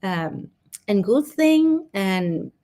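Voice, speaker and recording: Slow, gentle Vietnamese-accented woman. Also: mid-range voice, sing-song. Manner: gentle, halting, with searching restarts. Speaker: meditation guide. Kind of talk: guided gratitude meditation talk. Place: online session.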